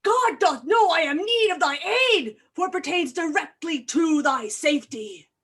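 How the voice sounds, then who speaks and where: A woman's voice: high-pitched, animated, moderate pace, a bit bright. A woman acting a frantic, vengeful doctor hunting a murderer in a Shakespearean staged reading, with grand, declamatory delivery. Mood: urgent. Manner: declamatory, archaic diction, grandly dramatic.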